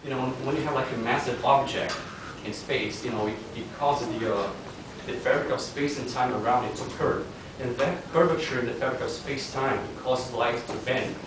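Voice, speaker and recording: A man explaining physics ideas to an audience in a patient, explanatory way, often filling pauses with "you know". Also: mid-range voice, noisy background. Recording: distant echoey room recording.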